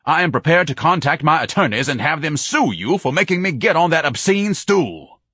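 A man's voice: on the high side, big pitch swings, normal speed, bright, forceful tone, husky, dry recording, clean studio sound, voice pushed up, full character voice. A man voicing an obese, pompous, indignant man who lectures and complains melodramatically in an audiobook.

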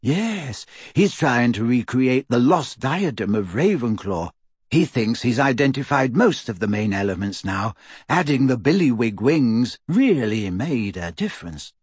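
A man's voice: mid-range voice, big pitch swings, measured pace, breathy edge, no echo, clean studio sound. A man voicing a dreamy, earnest teenage girl witch who muses matter-of-factly, in an audiobook.

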